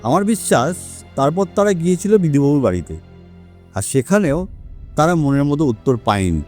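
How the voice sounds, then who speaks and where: A man playing a confident, sharp-minded male detective in an audio story, with deliberate, emphatic phrasing. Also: a little high, expressive, faint music behind, natural voice. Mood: grave, explanatory. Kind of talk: Bengali audio story acting.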